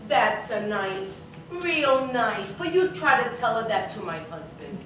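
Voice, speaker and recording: A woman's voice: on the high side, big pitch swings, measured pace, fairly bright tone. A woman playing a weary, comic Italian immigrant mother on stage, with a sing-song Italian accent and broken English. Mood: comic complaining. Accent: Italian, put on. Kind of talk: broad comic stage acting. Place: small theater, live staged reading. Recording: echoey theater, distant mic, faint noise.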